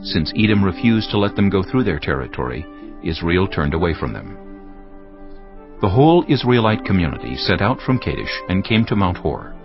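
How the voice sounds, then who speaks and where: Very deep-voiced male scripture narrator. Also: very animated, moderate pace, muffled recording, music in the background. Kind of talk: scripted audio Bible narration.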